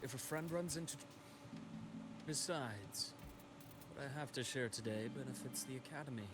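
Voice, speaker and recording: A man's voice: mid-range voice, normal speed, very noisy, close to an everyday voice. A male actor playing a composed, helpful doctor in a video game. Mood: calm, earnest. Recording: quiet game audio under music.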